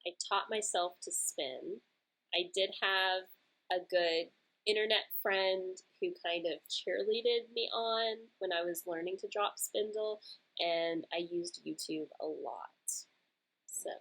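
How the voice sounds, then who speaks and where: Chatty low-voiced woman host. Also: slow pace, fairly bright tone, rough recording. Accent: mild American Southern. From Georgia, USA. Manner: chatty, rambling, laughs easily. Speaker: knitting podcast host. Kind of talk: casual Q&A chat.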